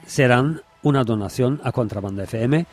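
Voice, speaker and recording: A man's voice: mid-range voice, normal speed, a little mellow. A man presenting, signing off and plugging a book in an announcer's role. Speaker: radio presenter. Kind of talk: presenter's sign-off and book plug.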